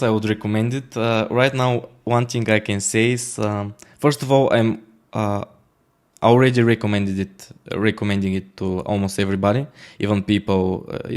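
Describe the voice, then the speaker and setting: A Bulgarian man talks through a casual interview in English, his second language. His voice sits on the low side, and he keeps restarting with "uh" and "um" as he goes. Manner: rambling, many fillers and restarts. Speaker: guest, smart contract auditor.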